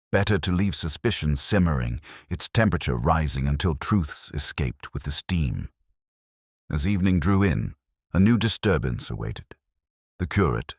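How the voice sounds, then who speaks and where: A man with an extremely low, husky voice narrates a detective mystery. His pitch moves in lively sweeps while he keeps a measured, literary storytelling manner. The sound is close and dry. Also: no echo.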